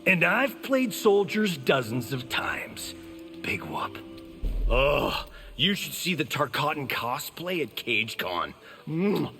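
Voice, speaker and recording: An actor playing a vain, wisecracking action star in a video game, talking in a smug, flippant way. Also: male voice, on the high side, sing-song, takes it slowly, long reverb tail, music in the background, heavily acted. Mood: mocking. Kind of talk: video game fight-intro banter.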